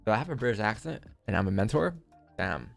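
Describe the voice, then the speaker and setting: A young man with a low, gravelly voice hosts a live trading stream from a desk mic. He talks loosely to his chat, cracking jokes between chart calls. Faint music plays underneath. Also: lively intonation, fast. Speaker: livestream trading host. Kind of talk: casual live commentary to chat.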